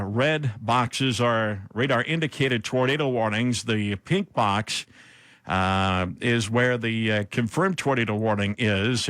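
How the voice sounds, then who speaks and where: An American man anchoring live severe weather coverage on radio, speaking haltingly as he improvises between read-out bulletins. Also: medium pitch, fairly bright tone, dry recording.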